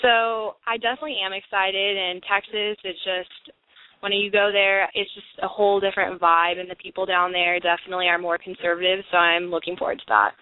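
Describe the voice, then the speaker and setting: A woman's voice: mid-range voice, little pitch movement, fairly quick, no room sound. An earnest young woman from California chats as a radio guest, her talk full of fillers. The dry sound comes through a tinny, thin phone line. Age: young adult. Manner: earnest, chatty, with many fillers. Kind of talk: casual phone interview.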